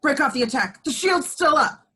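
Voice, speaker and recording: A woman playing an urgent, brisk rebel pilot commander in a film script table read. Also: sits high, animated, bright, forceful tone.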